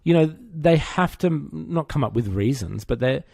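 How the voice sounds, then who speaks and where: Gravelly male podcast co-host, casual. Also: a little high, expressive, moderate pace, darkish tone, dry recording, studio quality. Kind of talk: casual pop culture chat.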